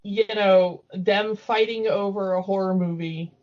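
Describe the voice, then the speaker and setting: A woman in a casual, discursive fan chat about cartoons, talking in an animated, opinionated way. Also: mid-range voice, a little slow, lo-fi sound. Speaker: podcast co-host.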